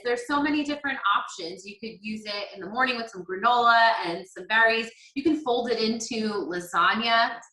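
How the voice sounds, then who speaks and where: A woman's voice: on the high side, brisk, hardly any pauses, pushed and bright, echoey room, rough recording. A woman chatting and explaining a recipe step by step in an upbeat, instructional way. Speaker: guest demonstrating a recipe. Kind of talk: cooking demo chat on a video call.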